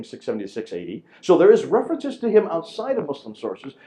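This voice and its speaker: A man giving an emphatic lecture to a church audience, with a very loud voice, firing rhetorical questions at his listeners. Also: mid-range voice, low-quality recording.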